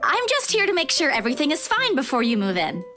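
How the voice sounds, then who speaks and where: A woman's voice: high voice, huge pitch swings, fast talker, pushed and bright, soft background music, voice pushed up, exaggerated voice. A woman voicing a nervous, apologetic young woman who stammers, in a visual novel. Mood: flustered, apologetic.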